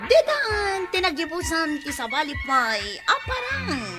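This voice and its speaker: A woman announces a radio drama in a high voice with an Ilocano accent. Her theatrical delivery is slow and sweeps widely in pitch, over loud music. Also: sing-song. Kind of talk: theatrical scripted narration and credits.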